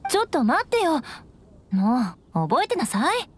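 A woman's voice: sits high, very animated, normal speed. A woman voicing a proud, formal young noblewoman knight in a game, haughty and easily flustered. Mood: miffed, resolute. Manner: haughty, archaic aristocratic phrasing. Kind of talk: Japanese game voice acting.

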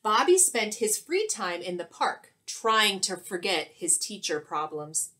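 A woman's voice: medium pitch, very animated, a little slow, sharp tone. A woman in her thirties, a teacher, reading a picture book aloud expressively and explaining it to children. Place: small indoor room at home.

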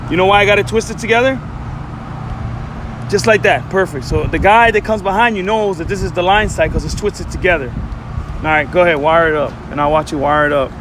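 A man working as a trade instructor outdoors, giving blunt, repetitive hands-on directions to a trainee, recorded on a phone. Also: a little fast. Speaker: electrician supervisor and instructor. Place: open commercial rooftop outdoors. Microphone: handheld phone camera. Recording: noisy outdoor phone video, ambient rumble.

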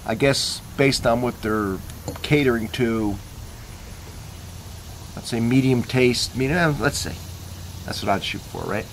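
A man's voice: medium pitch, unhurried, vocal fry, long pauses, a little hiss or hum. A man casually reviewing coffee in his backyard, talking in an easygoing, rambling way as he thinks aloud. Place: backyard outdoors.

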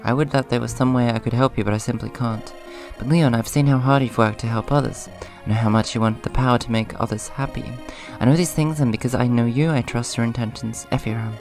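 A man hosts a casual let's play in a hoarse, subdued voice at an average pitch. He moves between rambling comments and reading on-screen dialogue. Music plays clearly behind him. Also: fairly even intonation, a little fast, few pauses, darkish tone. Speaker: gaming video host. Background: video game music.

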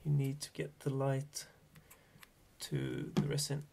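A man in his thirties with a Swedish accent, a Swedish native speaker explaining fly tying in a relaxed, hesitant way from a small home workshop. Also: mid-range voice, slow pace, creaky, noisy background. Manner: relaxed, hesitant, thinking aloud. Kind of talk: casual tutorial narration.

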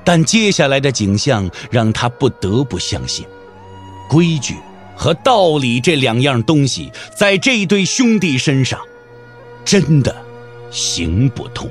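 A man's voice: mid-range voice, very animated, slow, soft background music. A man narrating a Mandarin audio drama as a scripted story, theatrical and intense.

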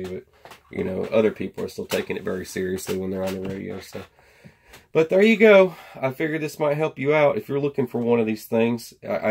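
A man's voice: fairly low pitch, gravelly, darkish tone. An American man giving a casual, rambling product chat to camera in folksy slang. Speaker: survival gear vlogger. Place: indoor workshop at a workbench.

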